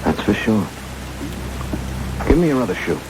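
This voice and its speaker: Earnest, low-voiced captive hero. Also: male voice, big pitch swings, moderate pace, music in the background, rough recording. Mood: steady, reassuring. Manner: earnest, emotional, dramatic. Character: defiant, devoted captive husband under torture. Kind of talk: vintage radio drama acting. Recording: old radio broadcast under loud rain-like noise.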